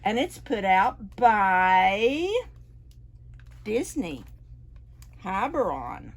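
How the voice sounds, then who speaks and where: A woman reading picture books aloud to preschoolers, with an animated manner and playful questions to the children. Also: mid-range voice, very slow. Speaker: librarian storyteller for preschoolers. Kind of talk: expressive picture-book read-aloud.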